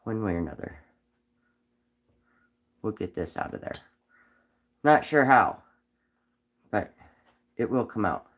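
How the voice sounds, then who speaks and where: A man with a cold and a raspy voice, chatting casually on a live stream while whittling wood, rambling with frequent ums. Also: fairly low pitch, big pitch swings, slow, pauses often, a bit bright. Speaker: livestream host whittling wood.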